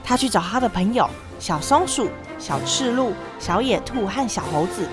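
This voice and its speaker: A young woman narrating a scripted children's story in an expressive, dramatic way. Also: mid-range voice, moderate pace, music in the background. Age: young adult.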